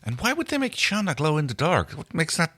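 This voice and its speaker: A man's voice: on the high side, wildly swooping pitch, a little fast, fairly bright tone, slightly rough. A man co-hosting a podcast, reading fan fiction aloud and talking casually. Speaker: podcast co-host and reader.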